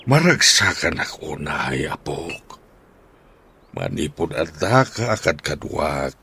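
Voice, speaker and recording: An old grandfather speaks low and unhurried in a radio drama. He is doting and emotional, his delivery swinging widely with feeling. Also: big pitch swings, pushed and bright, slightly rough, heavily acted. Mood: touched. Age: older.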